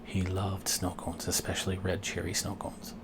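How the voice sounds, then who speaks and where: A man reading a children's picture book aloud, expressively and with deliberate pauses. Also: low voice, completely flat delivery, noisy background. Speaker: storybook reader and dad.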